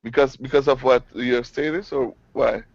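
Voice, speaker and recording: Gravelly man on a dry, muffled call. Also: medium pitch, fairly even intonation, moderate pace, dry recording. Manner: loose, restarting, laughs easily. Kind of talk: casual chat. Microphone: video call. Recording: dry dull online call audio.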